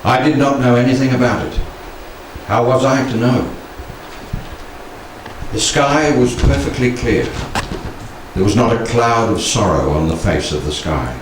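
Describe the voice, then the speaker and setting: A man in his sixties reciting poetry solemnly, with measured, dramatic phrasing and pauses, into a handheld microphone in a room. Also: mid-range voice, lively intonation. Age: 60s. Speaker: poetry reader. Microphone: handheld stage microphone. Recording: very echoey room, distant, faint noise.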